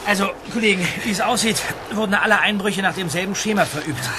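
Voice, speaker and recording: Methodical boy detective, played by a man, high-pitched, amid clear outdoor noise in a radio play. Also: fast, close to an everyday voice. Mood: businesslike. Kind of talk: German audio drama acting. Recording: noisy outdoor ambience.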